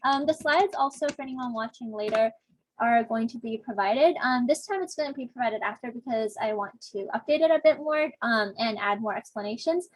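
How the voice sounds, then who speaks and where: A woman's voice: fairly high pitch, brisk, low-quality recording. A young woman from Southern California, a teaching assistant casually opening an online discussion section over a video call, chatty, with lots of fillers and self-deprecating asides. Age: young adult.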